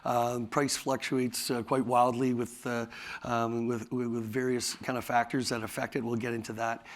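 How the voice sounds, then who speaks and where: A man with a raspy voice, tired from talking all day, presenting his company at a conference in a halting way, with many fillers and restarts. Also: medium pitch, little pitch movement. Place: conference hall.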